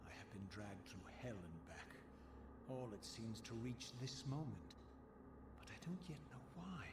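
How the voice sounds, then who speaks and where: An actor playing an embittered undead vampire wraith in a video game, speaking with grave, theatrical, archaic eloquence. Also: male voice, lowish voice, very animated, a little slow, very noisy. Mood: bitter, questioning. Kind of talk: dramatic video game voice acting.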